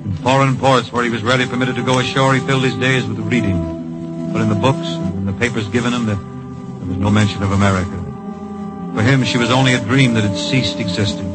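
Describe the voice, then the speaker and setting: An actor narrating a tragic radio drama in a solemn, poetic, storytelling manner. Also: male voice, mid-range voice, steady tone, fast, natural voice, low-quality recording. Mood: wistful. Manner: lyrical, grave storytelling. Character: solemn, poetic male narrator of a patriotic tragedy. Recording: vintage radio recording with music behind.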